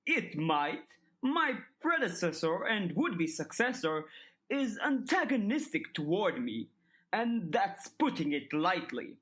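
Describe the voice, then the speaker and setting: A woman voicing a smooth, calculating older male headmaster in an audiobook, speaking in measured, formal, articulate sentences with polite manipulation. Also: mid-range voice, very animated, fairly bright tone, pitched above the performer's own voice. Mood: coolly confiding. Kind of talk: dramatized audiobook dialogue.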